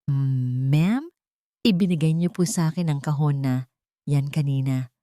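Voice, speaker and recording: A woman voicing a frightened young housemaid in an audio drama, halting, deferential and pleading. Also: low-pitched, dark tone, no echo, clean studio sound. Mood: nervous.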